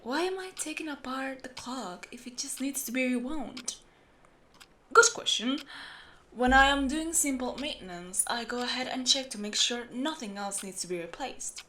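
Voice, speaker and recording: Steampunk clock repairer , played by a woman: female, patient, secretive, slightly high bright voice, faint noise behind, audio roleplay. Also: animated, moderate pace. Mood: patient, focused. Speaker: amateur voice actor. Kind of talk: audio roleplay, speaking to the listener.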